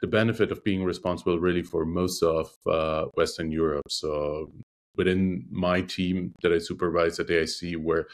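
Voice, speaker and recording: A man who is a native Russian speaker, a lawyer guest speaking in a measured, thoughtful interview manner with frequent hesitations. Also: low-pitched. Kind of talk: conversational interview.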